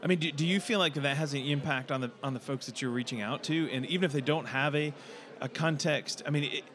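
A man hosting a discussion, searching for words with many pauses and fillers. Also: a little high, a bit bright. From United States. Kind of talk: casual discussion.

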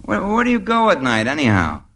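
An actor playing a needling, jealous advertising office rival, wisecracking in a mock-casual way in a 1950s radio comedy. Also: male voice, a little high, wildly swooping pitch, a little fast, fairly bright tone, exaggerated voice. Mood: sly, needling. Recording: very noisy old radio recording.

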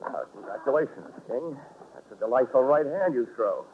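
An American actor playing a wry, hard-boiled investigator in a 1940s radio drama, clipped and sardonic, on a tinny old recording. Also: male voice, medium pitch, expressive, normal speed, very noisy, lightly acted. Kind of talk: 1940s radio detective acting, dry first-person narration.

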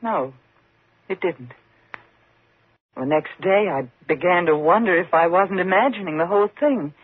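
A woman's voice: on the low side, expressive, a little slow, husky, phone-quality sound. An actress playing a frightened single woman in a 1950s radio suspense drama, tense and dramatic in her delivery. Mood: haunted, dread. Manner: tense, dramatic, faltering when flustered.

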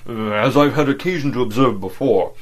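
A man voicing a heavyset, aging man who speaks in a subdued, husky, deadened murmur, in an audiobook. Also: medium pitch, moderate pace, voice pushed up, natural voice.

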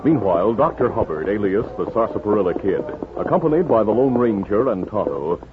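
A man's voice: lowish voice, very animated, brisk. A man narrating a 1950s radio western as its announcer, in a formal, dramatic style. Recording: muffled 1950s radio broadcast. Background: music.

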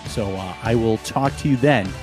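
A hoarse man hosting a live sports podcast, talking casually and rambling with restarts and fillers. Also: fairly low pitch, normal speed, music in the background.

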